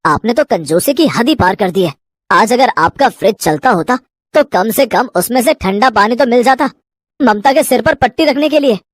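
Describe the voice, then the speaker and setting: A woman's voice: a little high, lively intonation, normal speed, no echo. A woman voicing a frustrated 25-year-old son in a cartoon story, pleading and exasperated. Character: young man of 25, frustrated son.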